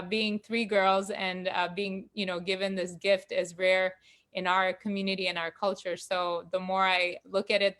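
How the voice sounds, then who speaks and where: Humble soft-spoken woman on a call. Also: mid-range voice. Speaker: guest musician. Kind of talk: casual thanks on a video call. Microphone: video call.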